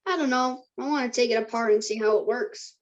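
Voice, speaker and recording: A woman's voice: a little high, fairly quick, muffled recording, close to an everyday voice. A woman playing a confident, enterprising teenage boy in a screenplay table read. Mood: casual.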